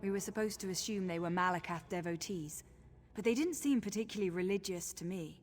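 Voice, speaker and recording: An actress playing a brave, protective orc high priestess in a video game, resolute and earnest in her delivery. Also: brisk, close to an everyday voice. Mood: thoughtful, concerned. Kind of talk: dramatic video game voice acting. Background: game music.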